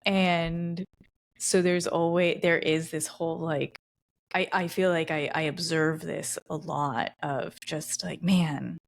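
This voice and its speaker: A woman's voice: sits low, steady tone, takes it slowly, creaky. A woman hosting a podcast interview, reflecting aloud and thinking through ideas with frequent restarts. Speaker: podcast host. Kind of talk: conversational interview.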